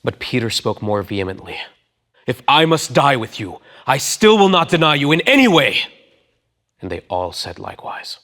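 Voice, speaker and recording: A man's voice: medium pitch, very animated, moderate pace, bright, forceful tone. A man giving a dramatic reading of scripture as a voice actor, acting out quoted dialogue with emphasis.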